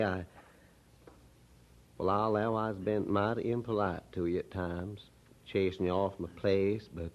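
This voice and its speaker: An actor playing a humble, ashamed poor country drunkard with a rural Southern American accent, halting and folksy, in a radio drama. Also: male voice, low voice, lightly acted. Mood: sheepish, grateful. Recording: dull old radio drama recording.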